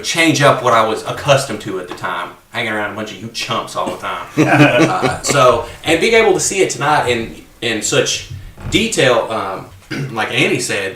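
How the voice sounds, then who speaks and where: A man's voice: medium pitch, sharp tone, far from the mic. A man co-hosting a podcast, chatting loosely at a table and rambling with plenty of fillers. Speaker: co-host. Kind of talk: casual roundtable chat. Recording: echoey room, away from the mic.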